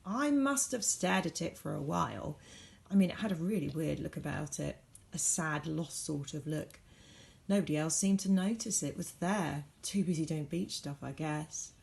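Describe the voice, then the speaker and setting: A woman reading a children's storybook aloud as a teacher would, with dramatic, varied emphasis and deliberate pauses. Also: deep voice, vocal fry, a little mellow.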